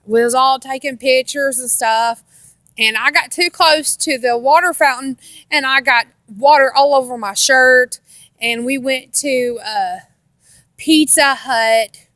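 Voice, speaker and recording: A woman's voice: high voice, unhurried, fairly bright tone, no room sound. An American woman telling a casual personal story in a rambling, repetitive way with folksy grammar. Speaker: storytelling vlogger.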